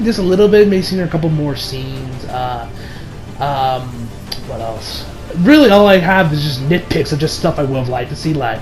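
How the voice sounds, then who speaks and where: A man giving a casual, rambling review in an informal, excitable, sweary way. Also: high voice, rough recording. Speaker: YouTube movie reviewer.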